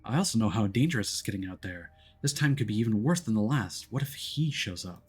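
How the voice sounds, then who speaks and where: A man's voice: lowish voice, expressive, fast, breathy edge. A man voicing a concerned but firm woman teammate in a visual novel, earnest and reproachful. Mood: worried. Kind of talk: visual novel character reading.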